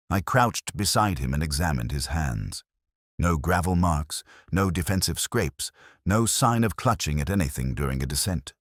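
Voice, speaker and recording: A man narrating a literary detective story in the first person, in a measured, brooding and formal manner. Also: sits low, dry recording, studio quality.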